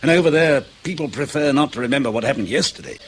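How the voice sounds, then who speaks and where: An actor playing a prickly, defensive field agent in a radio drama, speaking in a clipped, emphatic way. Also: male voice, mid-range voice, fast talker, a little hiss or hum, natural voice. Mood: irritated, emphatic. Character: defensive, prickly British male field agent under questioning. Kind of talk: naturalistic radio drama acting.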